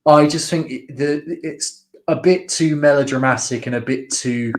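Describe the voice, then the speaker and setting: A man's voice: mid-range voice, moderate pace, a little hiss or hum. A man co-hosting a podcast, chatting casually about songs in a rambling, hesitant and jokey way. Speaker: podcast co-host reviewing songs. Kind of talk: casual music reaction chat.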